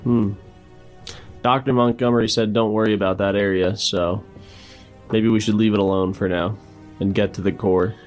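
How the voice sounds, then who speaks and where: Male roleplayer, casual delivery, lowish voice, music in the background. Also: steady tone, moderate pace, pauses often, darkish tone. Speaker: player in a tabletop game. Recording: music under the voice.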